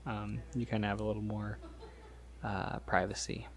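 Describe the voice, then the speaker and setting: A man playing a polite, shy vampire in an ASMR roleplay, speaking softly and haltingly in a gentle, reassuring way. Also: fairly low pitch, flat delivery, gravelly. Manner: hesitant, halting, gentle, reassuring, with small nervous chuckles. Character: polite, shy, considerate male vampire on a first feeding date.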